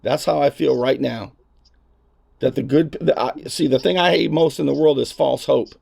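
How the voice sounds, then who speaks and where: A man who hosts a talk show, speaking informally and earnestly in a halting way during a candid phone conversation. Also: medium pitch, gravelly, a little mellow.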